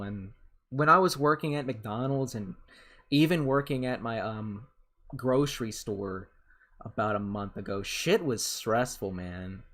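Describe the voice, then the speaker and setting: A young man in his twenties, rambling casually with some swearing, chatting over a game as a YouTuber answering questions. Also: a bit bright. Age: 20s. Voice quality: voice has grown deeper. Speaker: gaming YouTuber. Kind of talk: casual gameplay commentary and Q&A.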